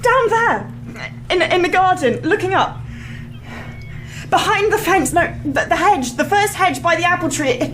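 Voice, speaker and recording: A fearful, distressed young wife in a radio play. Her voice is extremely high. The recording has clear noise behind her. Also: lively intonation, unhurried, a bit bright, full character voice. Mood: terrified. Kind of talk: radio drama acting.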